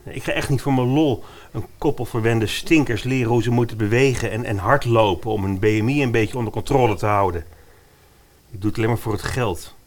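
A man's voice: mid-range voice, fairly quick, natural voice. A man playing a young, earnest, broke personal trainer and biker in a radio play.

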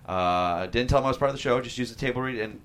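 Male panelist, quick casual talker with a mid-range voice. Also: medium pitch, fairly bright tone. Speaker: panelist and podcast host.

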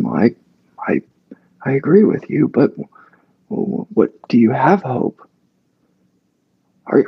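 A man's voice: mid-range voice, creaky, warm tone, breathy edge, lo-fi sound. A male actor speaking as an interview guest, telling a long story casually with many fillers and restarts.

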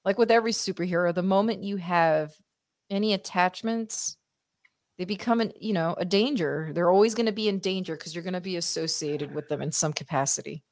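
A woman's voice: lowish voice, fairly quick. A woman co-hosting a podcast, giving blunt, opinionated takes in casual watch-party chat. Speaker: podcast co-host.

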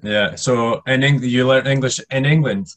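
A man hosting a casual interview, asking questions in a halting way and repeating words as he goes. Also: mid-range voice. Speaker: podcast host, interviewer.

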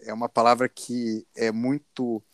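A man's voice: medium pitch, little pitch movement, unhurried, dry recording, clean studio sound. A man with a Brazilian accent in a reflective panel discussion, searching for his words as he goes.

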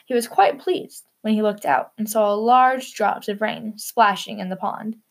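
A woman's voice: medium pitch, normal speed, creaky. A woman hosting and narrating a storybook for children.

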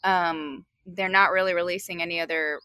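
A young adult woman co-hosting a podcast from outdoors, chatting in a relaxed, easygoing way with plenty of fillers. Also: fairly low pitch, normal speed, smooth, no echo, clean studio sound. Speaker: podcast co-host. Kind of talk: casual chat.

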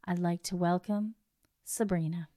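A woman's voice: lowish voice, fairly even intonation, a little slow. A woman hosting a podcast, reading a prepared guest introduction and then chatting casually over a video call. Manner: warm, reads a scripted intro then chats. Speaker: podcast host. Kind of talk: podcast interview.

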